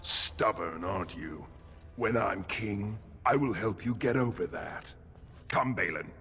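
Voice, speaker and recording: An actor playing a domineering dwarf prince and heir in a video game, pompous and commanding, in long hall reverb. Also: male voice, fairly low pitch, measured pace, pushed and bright, faint music behind. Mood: threatening.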